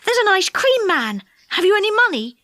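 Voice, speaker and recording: A woman's voice: high-pitched, sing-song, a bit bright, no echo, studio quality. A woman voicing an eager young boy in a storybook who gives the orders. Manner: brisk, commanding.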